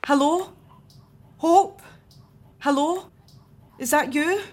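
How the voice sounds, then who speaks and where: An actress playing a frightened, agitated caller in a horror radio play. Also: female voice, sits high, very slow, a little hiss or hum. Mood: agitated, anxious.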